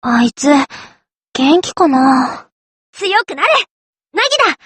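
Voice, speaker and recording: Anime game heroine: eager tomboy girl fighter, very high and bright, dry close sound, punchy boyish phrasing. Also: animated, takes it slowly, no echo, full character voice. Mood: wistful. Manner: short punchy lines, boyish blunt phrasing. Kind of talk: Japanese anime game character voice lines.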